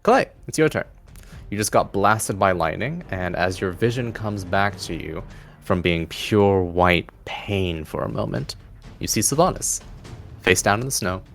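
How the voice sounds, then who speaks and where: A man's voice: low-pitched, lively intonation, a little slow, creaky, a bit bright. A man working as game master, narrating a tabletop game in a dramatic storytelling style. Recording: faint music behind the voice. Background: faint music.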